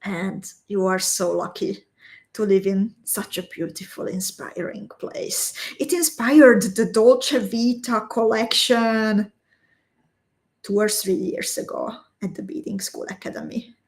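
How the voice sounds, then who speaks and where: Slow-talking woman teaching beading. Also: mid-range voice. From Slovakia. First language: Hungarian. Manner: slow, step-by-step instructions, chatting with viewers. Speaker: beading instructor. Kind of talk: live-stream craft tutorial.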